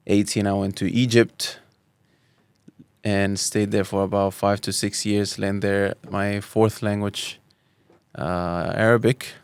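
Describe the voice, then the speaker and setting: A man's voice: on the low side, smooth, no echo, studio quality. Resonant-voiced man in his thirties from Spain. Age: 30s. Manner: thoughtful, with frequent restarts and Arabic phrases. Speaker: guest. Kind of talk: relaxed personal storytelling.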